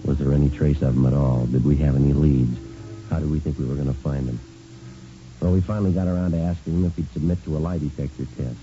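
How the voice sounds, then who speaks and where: An actor playing a terse, unflappable police sergeant in a vintage radio drama, speaking and narrating in a clipped, matter-of-fact deadpan. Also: male voice, very deep voice, quick pace. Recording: muffled vintage radio recording, music behind.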